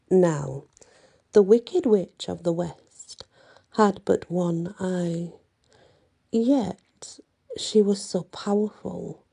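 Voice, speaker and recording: Woman, ASMR storyteller, very dark mellow tone, gravelly creak, soft, unhurried bedtime reading. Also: lowish voice. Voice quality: soft, husky, breathy.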